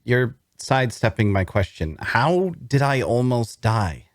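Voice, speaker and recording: A man's voice: mid-range voice, sing-song, unhurried, no room sound, studio quality. A man playing a wounded, bookish prisoner in an audio drama table read, wary and inquisitive, with a hesitant, questioning delivery. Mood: guarded.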